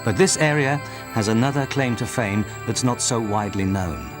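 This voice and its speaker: A man's voice: fairly low pitch, moderate pace, music in the background. A man reading scripted narration for a documentary as its narrator.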